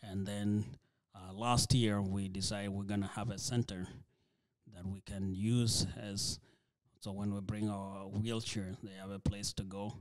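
Soft-spoken man, flat low voice. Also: no room sound. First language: Dinka. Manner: soft-spoken, halting, many fillers and restarts. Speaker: ministry leader, guest. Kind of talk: church interview conversation.

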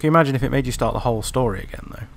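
A man's voice: mid-range voice, very fast, slight background noise. A man hosting a gaming video with casual, unscripted commentary, thinking aloud as he plays. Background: game music.

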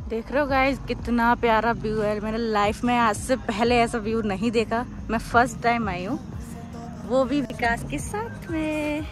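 A young woman chatting excitedly on a travel vlog at a hill viewpoint. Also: on the high side, moderate pace. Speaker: vlog companion. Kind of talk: casual vlog chat. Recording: music over outdoor vlog audio.

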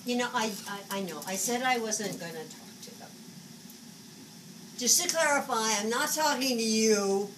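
A woman's voice: a little high, close to an everyday voice. A woman in her seventies playing a flamboyant, agitated psychic who scolds spirits in a dramatic stage monologue. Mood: bitter, sulking. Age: 70s. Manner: dramatic, exasperated, halting muttering to outbursts. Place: small indoor stage set. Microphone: camera a few metres away. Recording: distant camera recording, noisy room.

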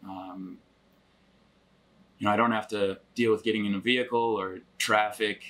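A man in his twenties from Michigan, talking in a reflective, steady way with frequent fillers about working remotely. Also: lowish voice, moderate pace. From Grand Rapids, Michigan, US. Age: 20s. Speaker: remote worker. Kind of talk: casual sit-down interview. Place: wood-paneled cabin living room.

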